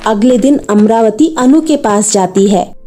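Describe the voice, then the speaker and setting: A woman's voice: a little high, a little slow, warm tone, faint music behind. A woman narrating a scripted children's cartoon story.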